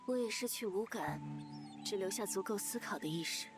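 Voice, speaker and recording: A woman voicing a composed, confident female character in a visual novel, speaking calmly and in measured phrases. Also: mid-range voice, natural voice. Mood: calm, assured. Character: composed, confident female being trapped in darkness. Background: game music.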